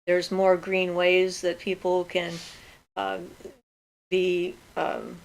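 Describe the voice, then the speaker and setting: Gravelly-voiced older woman. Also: fairly low pitch, slow pace, rough recording. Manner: relaxed and chatty, thinking aloud with fillers. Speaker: host of a magic Q&A livestream. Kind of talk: casual livestream chat, reading viewer questions. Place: home.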